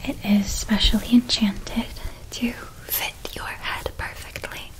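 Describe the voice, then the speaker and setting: A woman performing an ASMR roleplay as a gentle, attentive witch, speaking in a soft, caring, descriptive whisper. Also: fairly high pitch, steady tone, slow pace, poor audio. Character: gentle, attentive witch outfitting an academy student.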